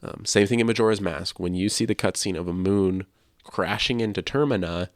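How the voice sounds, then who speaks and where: A man co-hosting a podcast, talking casually about video games in a measured, explanatory and opinionated way. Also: sits low, no room sound, studio quality. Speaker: podcast co-host.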